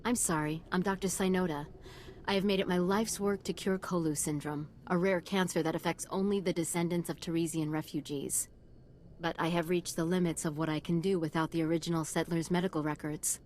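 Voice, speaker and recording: Game role, actress: devoted female doctor, solemn and earnest, on the lower side, noisy setting. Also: steady tone, brisk, darkish tone, natural voice. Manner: grave, formal, earnest. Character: solemn, devoted woman doctor on a lifelong mission. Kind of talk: video game voice acting.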